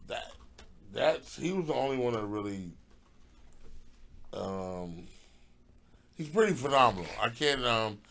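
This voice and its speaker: A middle-aged man, a comedian guest, chatting casually and haltingly, trailing off and joking. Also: medium pitch, expressive, creaky, a bit bright. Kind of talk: casual podcast chat.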